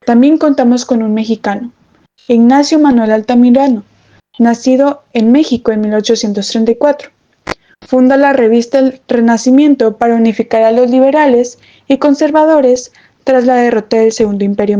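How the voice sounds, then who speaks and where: A woman's voice: on the high side, warm tone. A woman presenting on a student podcast, reading scripted informative narration in a formal, recitational way. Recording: dull lo-fi microphone sound.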